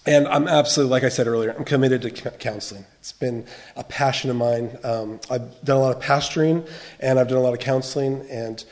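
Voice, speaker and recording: An earnest middle-aged man with an American accent gives a teaching talk in church. He often restarts his phrases as he goes. His voice sits at an ordinary, mid-level pitch for a man. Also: fairly even intonation, fairly quick. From United States. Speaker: biblical counseling trainer.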